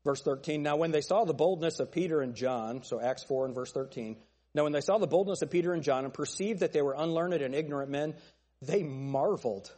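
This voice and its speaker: A male pastor preaching a fiery sermon with a thin, nasal voice, pressing his points with forceful, repeated phrases. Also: a little high, a little fast, big-room sound. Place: church sanctuary.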